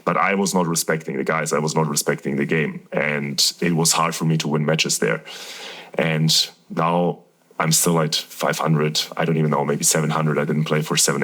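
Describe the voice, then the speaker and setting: A man's voice: very deep voice, fairly even intonation, normal speed, a bit bright. A man from Germany in his thirties, a professional tennis player talking candidly and at length about his career as a guest in a casual interview. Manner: earnest, candid, rambling storytelling.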